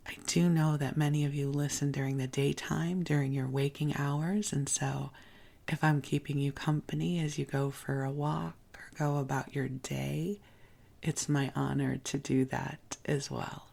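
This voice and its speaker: Sleep podcast host, real soft n gentle, flat calm pitch, creaky breathy half whisper, takes their time. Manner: gentle, soothing, unhurried, often dropping into a whisper.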